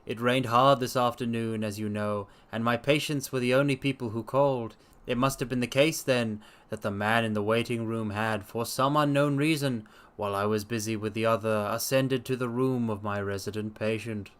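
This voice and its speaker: A man voicing a sober Victorian doctor who recounts a strange incident in formal, measured storytelling. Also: medium pitch, fairly even intonation, clean tone, close to an everyday voice. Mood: sober, concerned. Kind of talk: in-character narration of a detective story.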